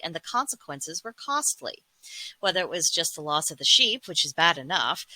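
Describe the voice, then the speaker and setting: A woman hosting a folklore series, speaking in an earnest, deliberate way as she delivers a scripted monologue with formal wording. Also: fairly low pitch, bright, forceful tone, dry recording, poor audio. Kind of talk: scripted monologue retelling and commenting on fables.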